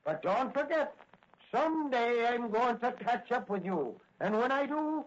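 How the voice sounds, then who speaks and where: Blustery old-time radio policeman, high-pitched and emphatic, dull vintage recording. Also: expressive, unhurried, full character voice. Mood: commanding, grudging. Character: gruff, blustering male police officer of a radio serial. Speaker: actor in radio drama. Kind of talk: 1940s radio acting.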